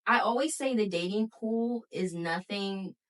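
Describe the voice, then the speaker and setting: A frank young woman in her twenties, chatting casually on a podcast with blunt slang and quick restarts. Her voice sits at a middling pitch and comes from a little way off the microphone, so it sounds slightly distant. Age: 20s. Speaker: podcast co-host. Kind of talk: casual chat.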